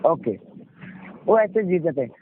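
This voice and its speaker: A man's voice: fairly high pitch, very animated, a little slow. A male pastor with a Punjabi accent preaching in an exhorting way, repeating phrases and asking questions that he answers himself. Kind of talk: sermon with rhetorical questions, call and response. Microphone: conference call. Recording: muffled, noisy conference call audio.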